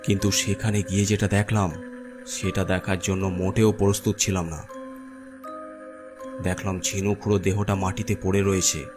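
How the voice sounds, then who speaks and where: A man's voice: low voice, level tone, normal speed, long pauses. A man narrating a scripted first-person horror story as its storyteller. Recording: clear music bed under narration. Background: background music.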